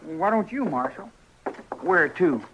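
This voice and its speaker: A stubborn, cocky frontier boy, with a raised, youthful pitch. He boasts in folksy rural phrases. It is a vintage radio western recording with obvious noise. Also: expressive, slow pace. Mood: stoic, brash. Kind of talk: old-time radio western acting.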